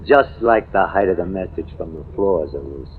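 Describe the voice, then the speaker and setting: A man's voice: low-pitched, lively intonation, brisk. A man playing a cocky, knowing American newspaperman in old-time radio drama, insistent and slangy in his talk. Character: cocky, hard-drinking newspaperman theorizing about a killer. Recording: tinny, noisy vintage radio recording.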